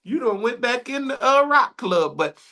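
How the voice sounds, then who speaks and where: A man's voice: very high voice, very animated, normal speed, sharp tone. Enthusiastic man hosting an interview. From Detroit, Michigan. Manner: enthusiastic, rambling, laughs often. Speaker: radio interview host. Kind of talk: casual interview chat.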